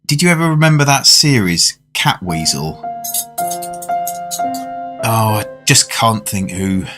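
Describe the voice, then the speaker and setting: A man's voice: lowish voice, very animated, slow, sharp tone, full character voice. An older man with a British accent playing a genteel, reflective diner who narrates a macabre tale in a radio drama. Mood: curious. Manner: courteous, literary storytelling. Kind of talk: fan-made radio drama, first-person narration and dialogue. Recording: music under the voice.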